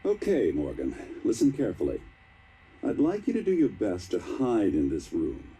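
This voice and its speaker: Game scientist: encouraging, fussy test administrator, slightly low husky voice, polite and halting. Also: male voice, huge pitch swings, warm tone, heavily acted. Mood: encouraging, awkward. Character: upbeat, fussing scientist running tests on a subject. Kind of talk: video game voice acting.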